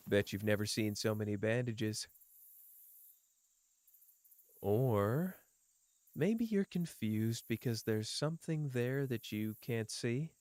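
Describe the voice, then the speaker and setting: A man's voice: medium pitch, no echo. A man voicing a teasing, world-weary young man. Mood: playful. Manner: soft, teasing, drifting between playful and bleak. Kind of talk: ASMR roleplay.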